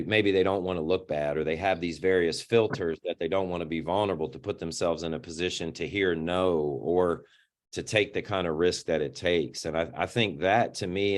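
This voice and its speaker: A middle-aged man with a deep voice, speaking as an interview guest in an earnest, reflective way and often repeating words as he starts a sentence. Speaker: interview guest, youth organization founder.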